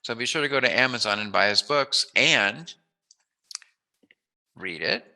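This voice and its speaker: A man's voice: medium pitch, expressive, measured pace, pushed and bright. A man hosting an interview in a casual, joking way, with a playful, rambling, musing manner. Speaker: interview host.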